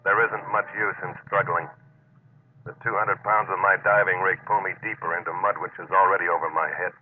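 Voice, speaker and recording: An actor playing a stubborn, grimly determined deep-sea diver in an old-time radio suspense drama, speaking and narrating in a terse, intense, hard-boiled way. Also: male voice, level tone, brisk, natural voice. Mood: grim dread. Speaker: lead actor and first-person narrator. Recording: noisy, tinny old radio recording.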